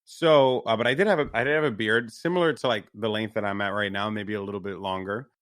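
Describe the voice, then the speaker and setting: A man's voice: fast talker, no echo, studio quality. A young man from New York, a podcast co-host, telling a story casually and animatedly and lifting his voice when he quotes people. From New York, USA. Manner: animated storyteller, raises pitch when quoting others. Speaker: podcast co-host, esports commentator.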